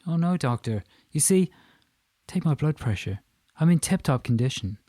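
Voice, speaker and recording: A man's voice: fairly high pitch, big pitch swings, moderate pace, warm tone, dry recording, studio quality, voice pushed up. A man voicing a boastful, chattering Irishman in his fifties with a menacing streak, in an audiobook. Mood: effusive, jovial. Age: 50s. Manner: chattering, effusive, emphatic. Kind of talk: audiobook character dialogue.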